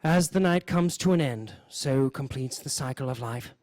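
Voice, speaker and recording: A man hosting a radio show, reading mock nature-documentary narration in a deadpan, solemn way. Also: medium pitch, moderate pace, dry recording, clean studio sound. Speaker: host of a live variety radio show.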